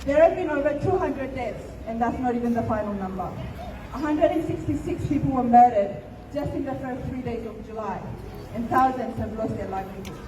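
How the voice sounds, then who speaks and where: A woman's voice: fairly high pitch, a little fast, noisy background. A woman from Ethiopia speaking earnestly and emphatically at an outdoor protest rally. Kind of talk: impassioned protest speech. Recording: distant, echoey outdoor recording.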